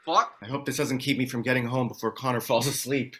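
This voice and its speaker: Casting: actor as uneasy, blunt father, medium-pitched male voice, table-read drama. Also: steady tone, quick pace, pushed and bright, husky. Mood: uneasy, irritated. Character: John, sweary father driving home, uneasy.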